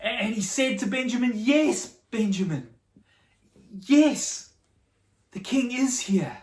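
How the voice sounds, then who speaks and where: A woman telling a story to children in a dramatic, expressive way, with simple explanations. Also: fairly high pitch, slow. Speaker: storyteller for a children's church show. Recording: echoey room, slightly distant mic.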